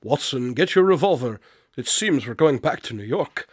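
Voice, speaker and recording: An actor playing an eccentric, inquisitive detective in a radio drama, articulate and dryly witty, with formal phrasing. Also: male voice, mid-range voice, expressive, moderate pace, husky, no echo, studio quality. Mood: eager, distracted.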